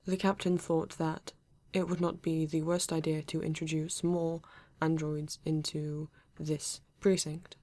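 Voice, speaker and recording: A woman with a British accent playing a formal, reserved male android detective in an ASMR roleplay, speaking stiffly and deliberately with halting pauses. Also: low-pitched, little pitch movement, warm tone, no room sound. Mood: formal, calm. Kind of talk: ASMR roleplay addressed to the listener.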